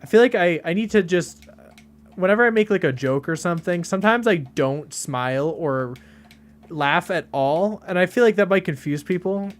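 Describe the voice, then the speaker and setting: Deadpan young man, slightly high voice. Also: normal speed. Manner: deadpan, flat delivery even when joking; rambling, many fillers and restarts. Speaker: game streamer. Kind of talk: casual live-stream chat.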